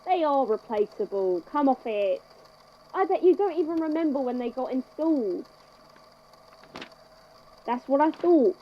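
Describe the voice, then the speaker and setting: A woman playing a composed, wry institute head in a scripted audio drama, speaking in a measured, conversational way. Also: high voice, expressive, muffled recording, slight background noise. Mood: wry, exasperated. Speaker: podfic voice actor, institute head narrating a statement.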